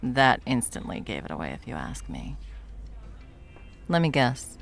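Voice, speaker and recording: A woman playing a confident, flirtatious, protective bartender in an intimate ASMR roleplay, teasing and unhurried. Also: very deep voice, sing-song, gravelly, exaggerated voice. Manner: teasing, unhurried, soft chuckles, dropping toward whispers. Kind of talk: ASMR roleplay, intimate one-sided conversation.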